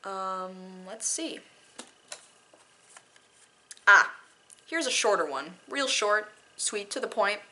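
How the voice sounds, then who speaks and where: A woman's voice: medium pitch, animated, slow pace, pauses often, sharp tone, a little hiss or hum. A woman doing an amateur dramatic poetry reading with casual asides, theatrical and self-deprecating in manner.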